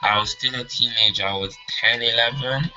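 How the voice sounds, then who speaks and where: A man in his twenties from Congo who grew up partly in England, a non-native English speaker presenting an informal personal lesson in halting phrases. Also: mid-range voice, pushed and bright. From Congo, then England. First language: not English. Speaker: course presenter, trainer. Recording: dull lo-fi audio, music behind.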